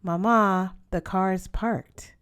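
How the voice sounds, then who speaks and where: A woman's voice: medium pitch, steady tone, very slow, fairly bright tone, clean tone, dry recording, studio quality. A woman voicing an eager little girl in a storybook, a younger sister who pleads and complains. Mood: pleading.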